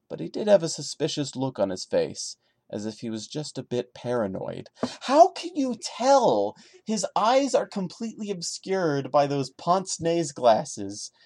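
A man's voice: mid-range voice, gravelly, a little mellow, no room sound, clean studio sound. A man hosting a podcast, giving a dramatic reading broken up by sarcastic, exasperated asides. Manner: sarcastic, exasperated commentary breaking into the narration. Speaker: podcast host.

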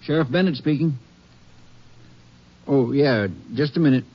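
A hissy, dull vintage radio recording. An American man plays a folksy county sheriff, plain-spoken, with a mid-pitched voice in the manner of fifties western radio. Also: unhurried, close to an everyday voice. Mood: earnest. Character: folksy, talkative male small-county Texas sheriff. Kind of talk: 1950s radio acting. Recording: noisy, muffled old radio recording.

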